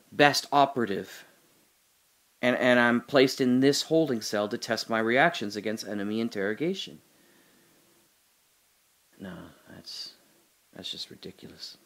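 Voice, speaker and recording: A man's voice: medium pitch, fairly bright tone, lightly acted. A lone prisoner in a cell questions who he is and what his memories mean, played by an actor. He talks in an audio drama monologue, musing and searching, wry one moment and earnest the next, with a mid-range male voice. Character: man alone in a holding cell, doubting his memories.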